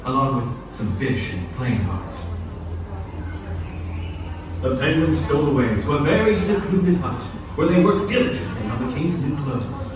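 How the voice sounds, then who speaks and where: A man's voice: mid-range voice, expressive, measured pace, over music. A man delivering scripted storybook narration for a puppet show. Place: indoor attraction puppet show, heard from audience distance. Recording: echoey, distant venue with background noise.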